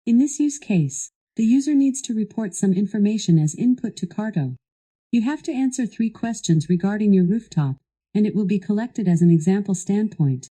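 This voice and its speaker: Very mellow, dark-voiced female assistant. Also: medium pitch, lively intonation, moderate pace. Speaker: voice assistant guiding a product demo. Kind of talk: scripted instructional narration.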